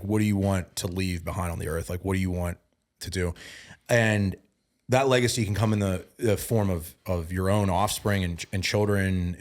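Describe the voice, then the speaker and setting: A man in his thirties speaks as a podcast guest in a fairly low voice. He sounds earnest and loose, with lots of repeats and restarts. When he warms to a point he turns emphatic and lifts his volume. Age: 30s. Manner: earnest, gets emphatic and louder when preaching; repeats words and restarts often. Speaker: guest, bodybuilding and mindset coach. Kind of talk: casual podcast conversation.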